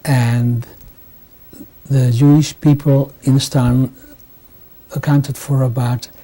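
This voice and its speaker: A man in his sixties from Hungary, giving oral history testimony in a halting way, with many restarts and pauses. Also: mid-range voice, little pitch movement, mellow, poor audio. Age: 60s. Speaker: interviewee. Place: home interview.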